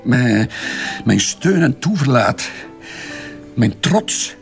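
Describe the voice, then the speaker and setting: A man's voice: mid-range voice, very animated, extremely slow, gravelly, faint music behind, exaggerated voice. A man with a Flemish accent playing a defensive advertising boss under questioning in a crime drama, hesitant and evasive, often backtracking. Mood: shaken. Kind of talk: radio crime drama dialogue.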